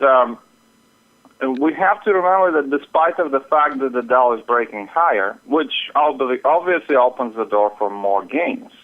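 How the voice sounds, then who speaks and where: A man giving market commentary as an interview guest over the telephone, explaining things in a somewhat halting way. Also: mid-range voice. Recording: phone line with narrow, radio-like sound.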